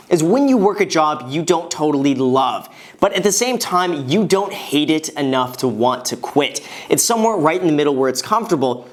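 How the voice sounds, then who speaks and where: Upbeat young man giving advice. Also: fairly high pitch. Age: 20s. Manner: upbeat, conversational, emphatic. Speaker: finance vlogger. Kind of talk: casual advice talk to camera.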